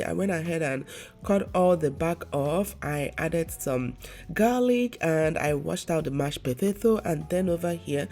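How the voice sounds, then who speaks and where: A woman's voice: deep voice, mellow. A woman lifestyle vlogger talking casually to her viewers. She has a congested, stuffy nose from a severe cold and rambles chattily with lots of fillers. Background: faint background music.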